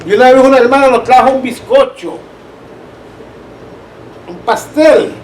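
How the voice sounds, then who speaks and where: Guy w caribbean spanish accent teaching bible class, high voice, chatty, roomy echo. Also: a little slow, a little mellow. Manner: conversational, checks listeners understand. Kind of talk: informal church teaching with anecdotes. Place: church room. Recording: distant roomy mic, background noise.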